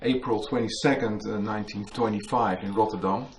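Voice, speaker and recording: A man from the Netherlands with a Dutch accent, telling a story to an audience at a book talk and often pausing to search for English words. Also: lowish voice, steady tone, a little hiss or hum. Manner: hesitant, searching for English words, many fillers. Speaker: author giving a book talk. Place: museum hall with a live audience.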